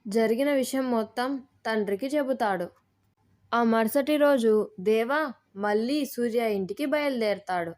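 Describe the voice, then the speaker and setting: A woman's voice: a little high, moderate pace, a little mellow. A woman narrating a scripted story as its storyteller.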